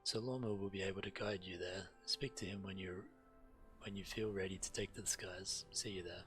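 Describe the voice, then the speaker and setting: A man streaming a game, reading quest text aloud in a measured, deliberate way while he plays. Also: sits low, steady tone, fairly bright tone. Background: fantasy game music.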